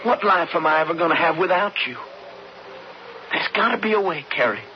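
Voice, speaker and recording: An actor playing an earnest, lovestruck young cowboy in a radio western, with a halting, folksy American Western drawl. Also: male voice, fairly high pitch, lively intonation, close to an everyday voice. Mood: desperate. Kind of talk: radio western drama acting. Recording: noisy, muffled 1970s radio broadcast.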